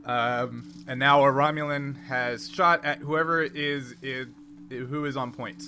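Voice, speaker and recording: Man game-mastering, clearly noisy recording. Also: medium pitch, fairly even intonation, slow pace, bright, forceful tone. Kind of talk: running a tabletop role-playing game, improvised narration.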